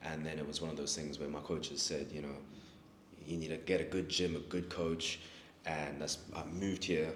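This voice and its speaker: A young man from Africa, talking through his story in a laid-back, halting way as an interview guest. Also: extremely low pitch, flat delivery. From South Africa. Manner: laid-back, hesitant, restarts often. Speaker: guest, fighter. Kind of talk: casual interview. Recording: echoey room with faint noise.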